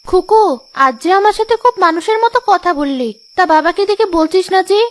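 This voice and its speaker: Woman voicing a very high-pitched doting village wife. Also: animated, fairly quick. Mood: affectionate. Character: childless village wife, doting on her pet goose as a daughter. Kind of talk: Bengali cartoon story dialogue.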